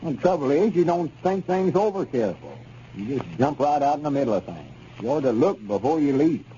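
A man's voice: mid-range voice, big pitch swings, fairly quick, warm tone, lightly acted. An actor playing an exasperated, folksy storekeeper with a rural Arkansas Southern accent, fussing at his partner in a 1930s radio serial. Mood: weary fussing. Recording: dull, noisy 1930s radio recording.

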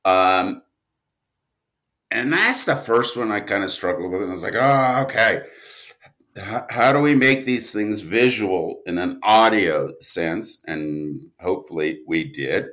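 A man speaking casually as an interview guest, telling anecdotes in halting, thoughtful phrases. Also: fairly low pitch, lively intonation, fairly bright tone. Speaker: writer and producer, interview guest.

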